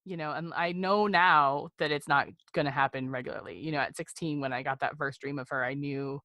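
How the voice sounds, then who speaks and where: A woman's voice: sits low, steady tone, brisk, sharp tone, no echo. A middle-aged woman chatting casually as a guest in a podcast interview.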